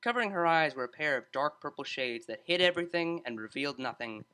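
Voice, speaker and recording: A man narrates a story in a bright, forward voice. His pitch sits a little high and moves in animated swings as he reads the scripted prose. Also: quick pace, smooth. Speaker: audiobook narrator.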